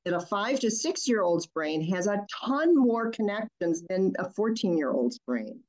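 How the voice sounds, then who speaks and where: A woman's voice: mid-range voice, sing-song, moderate pace, a little mellow. A woman pediatrician from Michigan, German as her first language, explaining child development in an interview with many ums and restarts. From Michigan, USA. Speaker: pediatrician guest.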